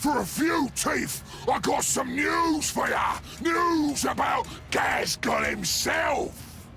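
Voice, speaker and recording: A man voicing a sly, jovial ork salesman in a video game, with a raspy Cockney English voice and a wheedling manner. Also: very high voice, expressive, slow pace, sharp tone, shouting, faint music behind, full character voice. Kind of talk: video game character acting.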